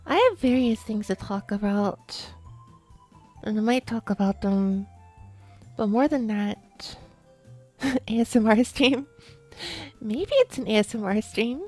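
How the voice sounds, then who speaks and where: A sleepy woman chats to her stream in a soft, hushed voice that sits a little high. Her tone is mellow and relaxed, drifting with fillers and restarts. Faint music plays under her. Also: slow pace, pauses often. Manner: soft, quiet, drowsy talk with fillers. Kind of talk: sleepy late-night chat stream.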